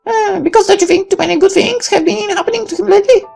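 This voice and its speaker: A man's voice: very high voice, fast, vocal fry, darkish tone, faint music behind, voice pushed up, heavily acted. A man voicing a sweet, playful young girl while reading a visual novel aloud. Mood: playful, scheming.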